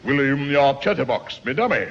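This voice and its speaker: An actor playing a self-important, hesitant schoolmaster in a 1960s radio sitcom. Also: male voice, medium pitch, big pitch swings, moderate pace, fairly bright tone. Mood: blustery. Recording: muffled hissy 1960s radio recording, echoey hall.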